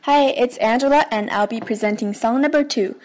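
A woman's voice: on the high side, fairly quick, darkish tone. A woman co-hosting a podcast, doing a dramatic spoken reading of rap lyrics. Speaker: podcast co-host. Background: faint music.

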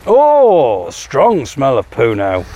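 A man's voice: mid-range voice, wildly swooping pitch, takes it slowly, highs cut off. A man hosting a podcast as casual chat while out on a walk, joking and punning in a wry manner. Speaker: podcast host. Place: outdoors on a street. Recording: loud street and traffic noise.

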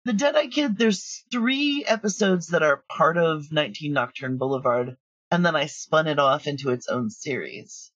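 A woman's voice: low voice, animated, normal speed. Woman on a podcast chatting about her work, storyteller type, kinda muffled lo-fi sound, no room echo. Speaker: audio drama creator, interview guest. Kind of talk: casual interview chat.

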